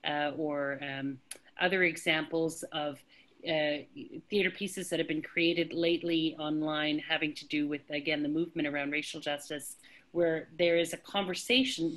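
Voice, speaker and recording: A woman speaking thoughtfully on an online panel discussion over a video call, pausing often with fillers. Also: low voice, fairly bright tone. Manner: thoughtful, hesitant with many fillers. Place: home indoors.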